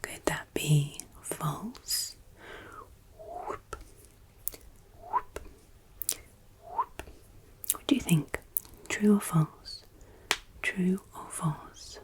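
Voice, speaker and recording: An English woman from Yorkshire whispering softly in an ASMR Q&A, teasingly repeating her questions. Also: medium pitch, huge pitch swings, drawn-out words, pauses often. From England, Yorkshire. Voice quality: soft unvoiced whisper. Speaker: ASMR creator.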